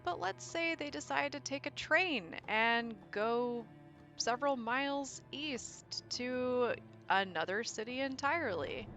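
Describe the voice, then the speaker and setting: Higher-voiced woman narrating over music. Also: pushed and bright. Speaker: content creator, video essay narrator. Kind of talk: conversational explanatory narration with examples. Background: background music.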